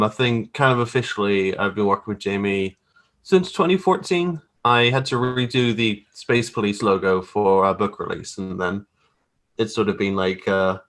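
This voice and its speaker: Bright-voiced Belfast man chatting casually. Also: lowish voice. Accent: Belfast, Northern Irish. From Northern Ireland. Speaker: podcast guest, graphic artist. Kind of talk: casual chat, anecdotes.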